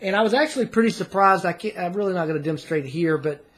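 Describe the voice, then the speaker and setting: A man's voice: high voice, very fast, a bit bright. A man giving a casual, unscripted product review in a hesitant, rambling way, recorded indoors on a camera mic. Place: small indoor room at home.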